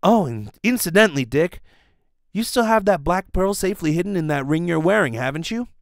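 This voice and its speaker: Man playing a high, earnest cop sidekick. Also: animated, fairly quick, vocal fry, dry recording, clean studio sound. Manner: gee-whiz, chummy. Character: Pat, earnest excitable police sidekick. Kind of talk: old radio serial acting.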